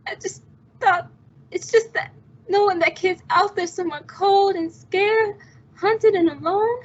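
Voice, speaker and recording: A woman's voice: very high-pitched, steady tone, a little slow. A woman playing an anxious, devoted young sister in an old-time radio melodrama, earnest and emotional in manner. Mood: distressed. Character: anxious, devoted young woman protecting her fugitive brother. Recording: dull, muffled lo-fi sound.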